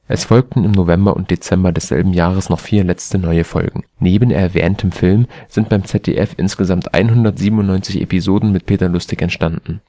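A man with a standard German accent, reading an encyclopedia article aloud in a steady, careful way. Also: deep voice, dry recording. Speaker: volunteer reader of a spoken encyclopedia article. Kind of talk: scripted read-aloud narration.

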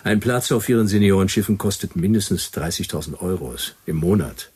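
A sarcastic detective in a radio play. The voice is very deep and a bit rough. Lines come out short, gruff and deadpan. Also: male voice, fairly quick. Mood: gruff, sardonic. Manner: terse, deadpan. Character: gruff, sarcastic, money-minded male private detective. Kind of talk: radio drama acting.

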